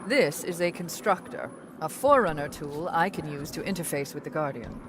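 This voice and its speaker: Precise, commanding older scientist. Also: female voice, deep voice, lively intonation, moderate pace, noisy background. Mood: businesslike. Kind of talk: dramatic video game acting. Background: loud dramatic music.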